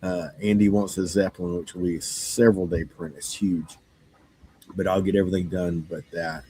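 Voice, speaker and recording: Hoarse deep-voiced man rambling casually. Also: level tone, warm tone, poor audio. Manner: halting, many fillers and restarts. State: hoarse, losing his voice. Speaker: game store owner. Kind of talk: casual monologue.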